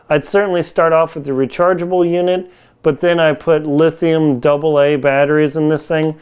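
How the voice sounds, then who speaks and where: A man's voice: a little high, a little mellow, clear, resonant tone. A man in his forties giving a casual, hands-on gear review in informal, folksy wording, recorded indoors on a lapel mic. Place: home kitchen, indoors.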